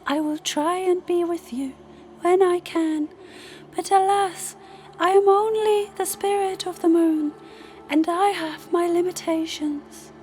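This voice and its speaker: A woman voicing a gentle, solemn celestial moon spirit in a fantasy audio drama, speaking formally and with measured care. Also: very high voice, a little hiss or hum. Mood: gentle, caring. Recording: music bed under voice.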